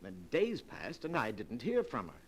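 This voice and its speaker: An actor playing a calculating murderer in a vintage radio drama, smooth and controlled. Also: male voice, mid-range voice, huge pitch swings, moderate pace, slight background noise. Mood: composed. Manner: smooth and calculating, rising to hysteria. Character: cold scheming male murderer who cracks at the end. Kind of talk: 1940s radio acting.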